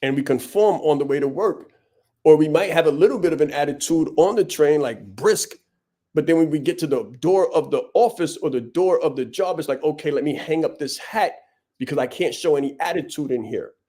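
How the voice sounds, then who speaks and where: Male coach-host, slightly high voice, hammers points home with blunt repetition. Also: brisk, warm tone. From Vietnam. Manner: blunt, preachy, punchy repetition. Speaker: podcast host and business coach. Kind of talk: motivational monologue.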